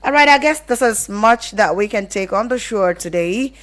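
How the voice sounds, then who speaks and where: A woman's voice: mid-range voice, lively intonation, moderate pace. A woman with a Nigerian accent presenting a live radio advice call-in show, explaining things conversationally and often rephrasing as she goes.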